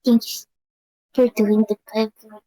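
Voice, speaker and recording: A woman's voice: flat delivery, slow, mellow, dry recording. A young woman chatting casually on a live stream, rambling and giggling often. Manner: giggly, rambling, high-pitched.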